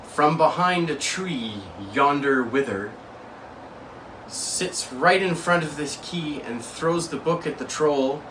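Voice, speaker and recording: A young man co-hosting a board game show, telling a story with slow, dramatic emphasis and playful bursts. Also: a little high. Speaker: co-host. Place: small room indoors. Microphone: far camera mic. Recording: distant camera mic, faint room noise.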